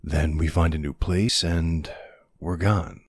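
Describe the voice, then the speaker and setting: A man playing a protective, gruff but tender older boyfriend in an ASMR audio roleplay, unhurried and plain-spoken, with a husky, gravelly voice. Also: very deep voice, fairly even intonation, no echo, clean studio sound, full character voice. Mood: tender, reassuring.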